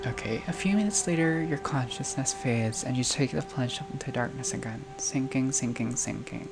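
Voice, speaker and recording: A gamer reading visual novel text aloud in a halting way, breaking off for casual asides and reactions. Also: mid-range voice, over music. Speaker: gaming video creator playing a visual novel. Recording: clearly noisy home recording, unstable audio.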